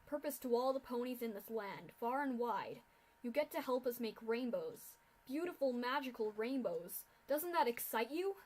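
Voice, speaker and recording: A woman voicing a cruel, smug male pegasus official who speaks loudly and clearly to captives. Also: fairly high pitch, lively intonation, moderate pace. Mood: gloating. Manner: loud and clear, gloating.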